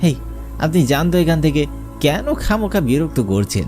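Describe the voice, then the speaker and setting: A man's voice: a little high, sing-song, moderate pace, music in the background, voice pushed up. A man voicing a young male traveller in a horror cartoon, irritated and dismissive, talking in a scoffing conversational way.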